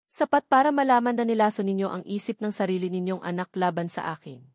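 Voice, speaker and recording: Casting: defiant young heroine of a romantic melodrama, woman narrator reading her indignant and forceful. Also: medium pitch, quick pace, no echo, clean studio sound, lightly acted. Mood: angry, defiant. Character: wronged, defiant young woman, a proud cook.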